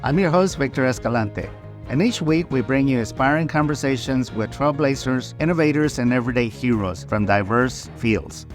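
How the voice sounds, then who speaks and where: A man hosting a podcast who introduces and interviews guests in a friendly way, adding Spanish phrases and some "uh" fillers. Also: mid-range voice. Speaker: podcast host.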